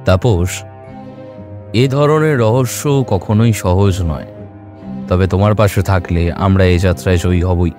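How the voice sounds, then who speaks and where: A man playing a serious, confident detective in an audio drama, speaking in a measured, firm way. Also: sits low, music in the background. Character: serious, steady male detective, confident leader. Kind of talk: Bengali audio drama acting.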